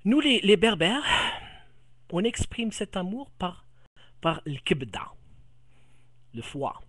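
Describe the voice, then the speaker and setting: Halting Kabyle-accented man explaining. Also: sits high, big pitch swings, a bit bright, dry recording, clean studio sound. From Algeria, Kabyle region. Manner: halting, repeats words and restarts often. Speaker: vlogger. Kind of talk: explaining to a viewer from a home camera. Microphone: camera microphone.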